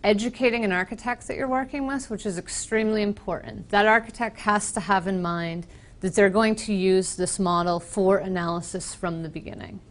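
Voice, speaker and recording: A woman from Boston with an American accent, giving a casual, explanatory software presentation with frequent ums. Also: medium pitch, fairly even intonation, a little fast. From Boston, United States. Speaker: software trainer. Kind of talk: conference presentation.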